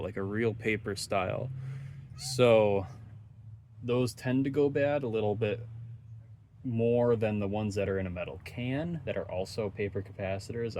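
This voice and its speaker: A man giving casual, unscripted commentary on hands-on repair work, thinking aloud in an offhand, dryly joking way. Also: lowish voice. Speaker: host of radio repair videos. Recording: steady background noise, ambient sound.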